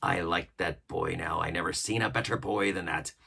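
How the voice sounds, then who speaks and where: A man's voice: low voice, fairly even intonation, moderate pace, vocal fry, bright, forceful tone, breathy edge, heavily acted. A man voicing a fierce, swaggering old one-legged pirate cook who commands and threatens in a storybook reading. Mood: contemptuous, commanding. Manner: menacing, swaggering, uses old nautical slang. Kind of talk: storybook character voice.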